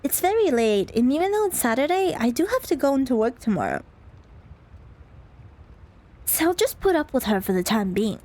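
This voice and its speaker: A woman's voice: high voice, very animated, normal speed, long pauses, warm tone. Wry, reluctant office lady, as a woman reads her. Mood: resigned.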